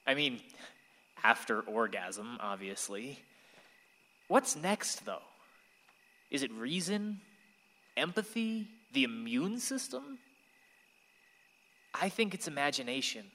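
A man's voice: medium pitch, big pitch swings, slow, pauses often, sharp tone, exaggerated voice. A man playing a wistful, awkward young man who confides his thoughts in a radio play. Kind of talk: radio play monologue and dialogue. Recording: reverberant hall sound.

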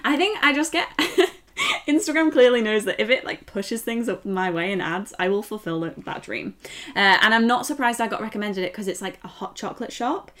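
A woman's voice: medium pitch, lively intonation, fairly quick, a bit bright. Enthusiastic young British woman chatting. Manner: enthusiastic, effusive. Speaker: podcast co-host. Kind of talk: casual chat.

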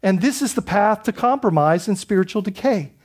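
A high-pitched man from the Bahamas delivers a sermon as pastor. He preaches in a loose, conversational way, restarting phrases and checking in with the congregation through rhetorical questions. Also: lively intonation, a little fast.

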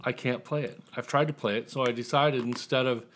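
A man's voice: mid-range voice, steady tone, a little fast, fairly bright tone. A tipsy American man from Indiana chatting casually as an author guest, rambling, self-deprecating and profane. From Indiana, United States. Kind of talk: casual chat.

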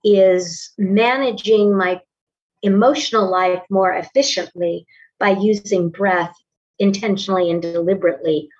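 An older woman in her sixties talks in a measured, articulate way about her teaching. The recording sounds dull and lo-fi. She is a little away from the mic. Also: mid-range voice, fairly even intonation, a little mellow, distant mic. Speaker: voice and acting teacher. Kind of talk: casual interview conversation. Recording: dull lo-fi audio, slightly off-mic.

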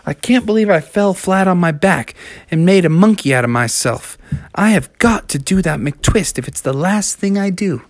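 Storyteller doing a cocky young frog, swooping pitch. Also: lively intonation, normal speed, dry recording, clean studio sound. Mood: determined. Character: cocky, brave young male skateboarding frog.

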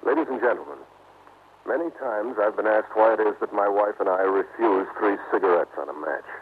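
An actor playing a suave, calm gentleman detective in an old radio mystery, polished, measured and dryly humorous, on a tinny, noisy recording. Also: fairly low pitch, breathy edge. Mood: grave storytelling. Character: suave, calm American gentleman detective, bantering newlywed husband. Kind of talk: old-time radio mystery acting and narration.

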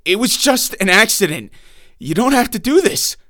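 A man's voice: sits high, very animated, normal speed, bright, forceful tone, no echo, clean studio sound, voice pushed up, full character voice. A man reading a story, voicing a frightened young man who stammers and pleads. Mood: terrified. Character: terrified young nightclub owner, stammering.

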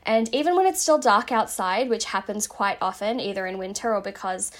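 A woman's voice: medium pitch, a little fast, fairly bright tone. A woman hosting a casual self-help podcast, chatty and rambling with lots of fillers, saying she is slightly losing her voice. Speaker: podcast host.

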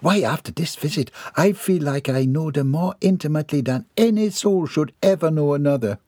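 A man voicing a formal, commanding mistress of a mansion, from Jamaica, who speaks primly and briskly. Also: on the high side, lively intonation, a little mellow, dry recording, studio quality, voice pushed up. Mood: composed.

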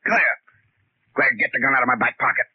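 An actor in a 1940s radio thriller playing a hard-boiled, jittery killer crook with a tense, slangy way of talking. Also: male voice, mid-range voice, little pitch movement, brisk, slightly rough, natural voice. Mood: desperate. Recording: dull vintage radio recording.

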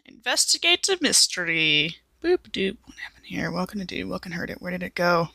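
A woman player in a tabletop game, thinking out loud as she works through what her character does. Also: lowish voice, sing-song, fairly quick, vocal fry, no room sound.

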